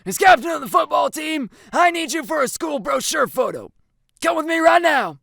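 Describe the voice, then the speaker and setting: A woman's voice: fairly high pitch, expressive, moderate pace, gravelly, dry recording, clean studio sound, voice pushed up. A woman voicing a stern, exasperated school football coach, giving curt commands in a story. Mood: commanding.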